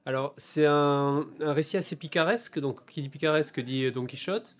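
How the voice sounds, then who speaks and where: A man in his forties from France talks about his novels in a bookshop interview. He searches for words, pausing and restarting often, yet keeps a reflective, earnest tone. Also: a little high, soft background music. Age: 40s. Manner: hesitant, many fillers and restarts, thoughtful. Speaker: author.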